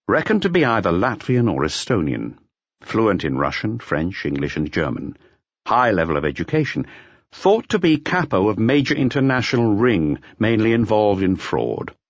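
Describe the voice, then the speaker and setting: Very deep male audiobook narrator. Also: very animated, moderate pace, no echo, dull audio. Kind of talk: scripted literary narration of a detective novel.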